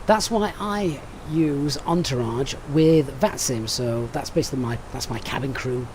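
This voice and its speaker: A man's voice: medium pitch, unhurried, a little mellow, a little hiss or hum. A man with a Sheffield accent on a live stream, methodically briefing a flight and chatting with viewers between steps. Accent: Sheffield English. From Sheffield, England.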